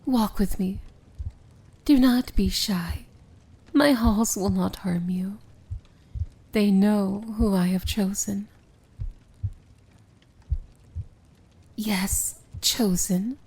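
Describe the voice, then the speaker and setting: A woman playing a seductive, tender yet commanding evil princess in an intimate fantasy ASMR roleplay, speaking softly and often whispering. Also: medium pitch. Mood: devoted, reassuring. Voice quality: soft, breathy, often whispered. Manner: slow, intimate, gently commanding.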